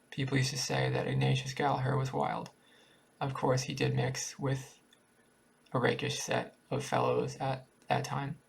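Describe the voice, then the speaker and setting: A man reading a literary short story aloud in a halting way, sometimes stumbling over words. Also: medium pitch, creaky, husky, poor audio. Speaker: amateur story reader.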